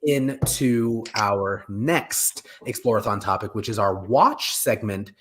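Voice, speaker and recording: A man in his forties co-hosting a casual panel chat, joking and animated, often interrupting himself mid-sentence. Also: fairly low pitch, a little hiss or hum. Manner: jokey, animated, halting with self-interruptions. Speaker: co-host of a pop culture live show. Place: home room, indoors, video call.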